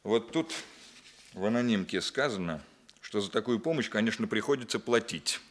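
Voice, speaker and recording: An actor playing a stern, methodical police captain in a 1960s Soviet radio play, deliberate, authoritative and dryly ironic. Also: male voice, fairly low pitch, expressive, slight background noise, lightly acted. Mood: probing, firm.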